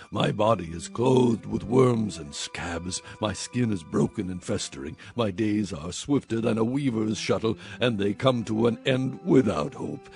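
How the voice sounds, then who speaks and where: Despairing sufferer, solemn anguished lament, gravelly voice, scripture drama, soft music behind. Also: male voice, fairly low pitch.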